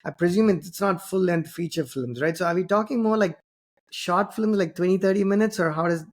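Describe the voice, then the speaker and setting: Host role: man from southern India, Tamil first language, chatty interviewer who restarts often, slightly high voice. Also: brisk. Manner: rambling, many fillers and restarts. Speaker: podcast host. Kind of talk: conversational interview.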